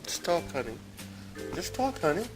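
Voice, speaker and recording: A man's voice: fairly high pitch, sing-song, moderate pace, over music, low-quality recording. A man chatting casually as a father with a young child, coaxing and asking questions.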